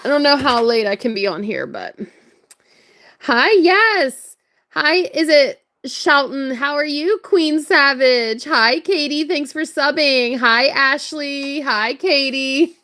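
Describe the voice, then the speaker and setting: A woman with a hoarse, worn voice, chatting casually and greeting viewers while crafting on a livestream. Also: high voice, animated, slow, pushed and bright. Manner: chatty, upbeat, laughs often. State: hoarse, voice strained from hours of talking. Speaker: livestream crafter and shop owner.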